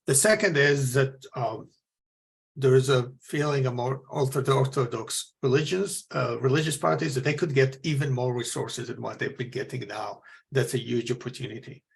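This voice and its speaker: Gravelly-voiced man introducing a speaker. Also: medium pitch, normal speed. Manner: earnest, with frequent fillers and restarts. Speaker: forum moderator. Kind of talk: moderator's introduction.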